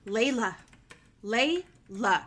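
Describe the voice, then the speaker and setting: An actress playing a defiant, whiny, insolent teenage daughter in an audio play. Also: female voice, on the high side, lively intonation, extremely slow, a bit bright, husky, full character voice. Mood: sullen, snapping. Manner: bratty, insolent.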